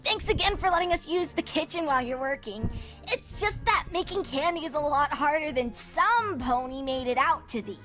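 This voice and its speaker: A woman's voice: high voice, animated, moderate pace, a bit bright, faint music behind, pitched above the performer's own voice. A woman voicing a testy, blunt young tomboy pegasus filly in a cartoon fanfic reading. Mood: apologetic.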